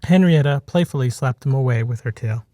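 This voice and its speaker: A man reading a short story as scripted narration, in a measured, dramatic manner. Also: medium pitch, dark tone, no echo, studio quality. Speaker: author reading his own short story.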